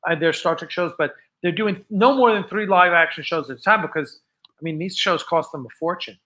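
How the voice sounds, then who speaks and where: A man with an American accent hosts a casual livestream in a high, bright voice. He talks off the cuff, rambling and halting, often letting a thought trail off before starting on the next. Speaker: host of a live fan show. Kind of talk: casual unscripted livestream chat.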